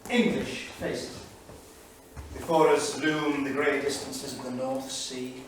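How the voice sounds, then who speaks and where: Male actor as 1938 Cambridge diarist: learned, anxious, wry, deliberate. Stage reading, distant and very roomy sound. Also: medium pitch, steady tone, close to an everyday voice. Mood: vivid, wry. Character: erudite, anxious English Cambridge don keeping a 1938 journal. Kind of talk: staged reading of journal entries, theatrical. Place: small studio theatre, live staged reading. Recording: very echoey theatre, distant mic, faint noise.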